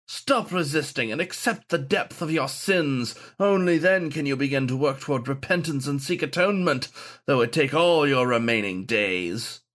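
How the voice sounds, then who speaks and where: A sanctimonious canine phantom acted out by a man for an audio story. He declaims in archaic, pompous phrases, sneering with zeal. The pitch sits raised and swings widely. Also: expressive, moderate pace, a bit bright, lightly acted. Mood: gloating. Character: sneering, zealous ghost of a male wolfhound saint.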